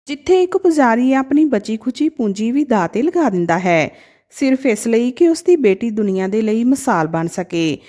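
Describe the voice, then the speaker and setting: A woman narrating a scripted emotional moral story as a storyteller, with an expressive, dramatic delivery. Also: fairly high pitch.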